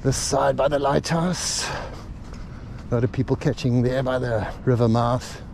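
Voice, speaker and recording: A man casually talking through a fishing walk along a rocky beach, relaxed and halting with lots of fillers, filmed on a body-worn camera outdoors. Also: medium pitch, vocal fry. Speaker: fishing vlogger. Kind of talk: casual walking commentary. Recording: outdoor camera audio with wind and surf noise. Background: crashing waves and wind.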